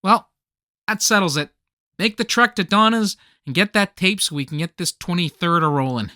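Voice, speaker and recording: Man acting earnest, fussy, bright-voiced guy. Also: high voice, moderate pace, dry recording, studio quality. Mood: determined. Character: earnest, fussy man obsessed with old holiday tapes. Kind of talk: comedic radio play acting.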